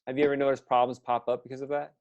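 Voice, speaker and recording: An interview host speaking at a brisk clip with a mid-range voice. The questions come quickly, searching, with words sometimes restarted partway. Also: mid-range voice, level tone, a little mellow, lo-fi sound.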